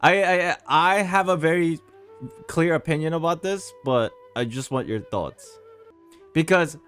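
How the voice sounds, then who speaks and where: A man's voice: a little high, unhurried, fairly bright tone, clear, resonant tone, faint music behind. A man streaming a game with casual commentary, musing and speculating aloud to his chat. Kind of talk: casual live playthrough commentary.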